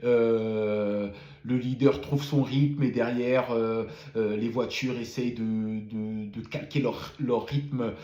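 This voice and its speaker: A man's voice: medium pitch, fairly even intonation, slow, mellow, roomy sound, muffled recording. A man who has a cold gives a casual spoken debrief of a motor race, full of fillers and repeated words. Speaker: F1 commentator.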